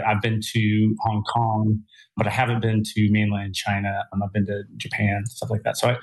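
Flat, husky low-voiced male host. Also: rough recording. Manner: enthusiastic, rambling, curious questions. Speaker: podcast host. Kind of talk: conversational interview.

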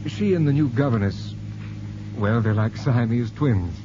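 An actor playing a formal, deferential, loyal butler in a 1950s radio drama. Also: male voice, mid-range voice, lively intonation, measured pace, lightly acted. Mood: confiding. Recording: noisy muffled 1950s radio recording.

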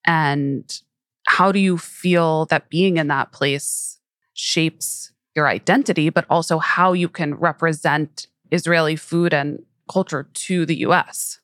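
A woman's voice: sits low, steady tone, takes it slowly, a bit bright, no room sound, studio quality. A woman interviewing a guest, asking curious, thoughtful questions in a casual way.

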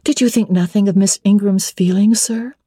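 Spirited young heroine of classic storybook, acted by a woman reader: mellow, husky, forthright, playfully defiant. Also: mid-range voice, fairly even intonation, normal speed, no echo, clean studio sound, pitched above the performer's own voice, lightly acted. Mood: indignant. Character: spirited, frank young woman, teasing bride-to-be. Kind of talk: dramatic classic novel reading.

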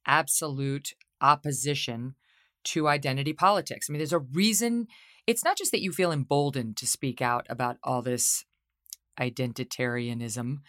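A woman hosting a podcast interview who speaks forcefully and stresses her points by repeating them. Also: sits low, no room sound, studio quality. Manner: forceful, emphatic repetition. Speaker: podcast host.

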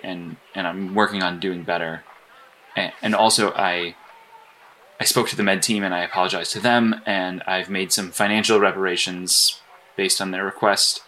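A man playing an earnest, apologetic and socially awkward hero agent in a naturalistic audio drama, with halting, stammering speech. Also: sits low, bright, forceful tone, rough recording.

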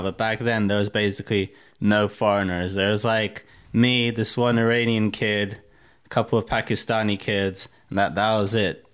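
A man's voice: lowish voice, clear, resonant tone. Candid man with a mixed accent. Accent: mixed Russian, American and English. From Russia. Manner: candid, profane, rambling with many fillers. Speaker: guest. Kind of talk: casual interview chat.

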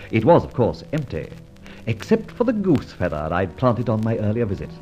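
Muffled old radio recording, breezy gentleman adventurer, wry, theatrical, very swooping intonation. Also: on the low side, wildly swooping pitch, normal speed, faint music behind. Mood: wry narration. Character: cocky, quick-witted gentleman adventurer and secret thief. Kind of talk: old-time radio drama acting and narration.

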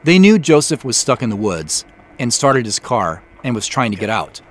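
A man's voice: medium pitch, big pitch swings, moderate pace, slight background noise. A man giving scripted behind-the-scenes commentary as the creator of an audio drama.

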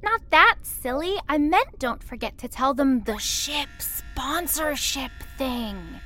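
A woman's voice: high voice, expressive, takes it slowly, pushed and bright, soft background music, heavily acted. A woman playing a cheerful, pedantic ship computer chatbot, over-helpful and sing-song, in an audio drama comedy. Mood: chirpy chiding.

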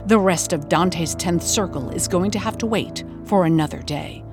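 A woman playing a wry investigative podcast host, narrating a scripted mystery with deliberate suspense. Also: fairly low pitch, natural voice. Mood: suspenseful. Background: music.

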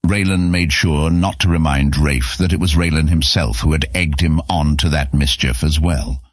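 A man's voice: extremely low pitch, steady tone, moderate pace, dry recording, studio quality. A man narrating a scripted fantasy story as an audiobook narrator.

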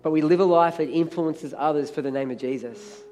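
A middle-aged pastor with a hoarse, crackly voice, preaching a sermon with energetic, emphatic repetition. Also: male voice, fairly high pitch, brisk, faint music behind.

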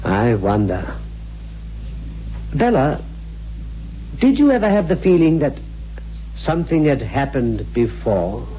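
An actor playing an ordinary, puzzled and uneasy husband in a 1960s radio horror drama, with an expressive, questioning manner. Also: male voice, mid-range voice, slow pace, long pauses. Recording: muffled old radio recording, faint hum.

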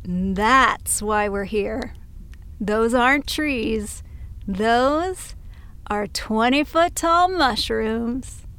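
High and very smooth, a nerdy scientist in a fiction podcast. She is dry, matter-of-fact and unfazed. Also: extremely slow, fairly bright tone, full character voice. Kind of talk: naturalistic audio drama acting.

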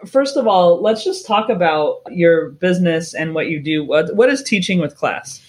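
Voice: medium pitch, animated, normal speed. Upbeat podcast host asking questions. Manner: upbeat, brief affirmations. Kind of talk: casual interview chat.

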